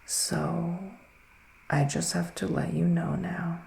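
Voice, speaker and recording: A man plays a gentle psychic witch in an ASMR roleplay, his voice heavily creaky and breathy, drifting between soft talk and whispering. He is soothing and unhurried in manner. The room adds noticeable echo, with faint noise underneath. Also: fairly high pitch, little pitch movement. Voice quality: breathy, often slipping into whisper. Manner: slow, hushed, soothing and reassuring. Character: gentle psychic male witch giving a reading. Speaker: ASMR performer playing a psychic reader. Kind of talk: ASMR roleplay, improvised tarot reading.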